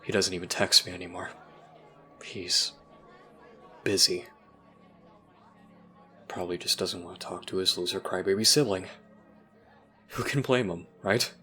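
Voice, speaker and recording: A man voices a troubled, self-blaming teenager confiding in a friend in an audio roleplay. The voice is very low yet bright and tense, with halting, confessional phrasing that trails off. Faint music plays underneath. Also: fairly even intonation, heavily acted. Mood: bitter, hurt.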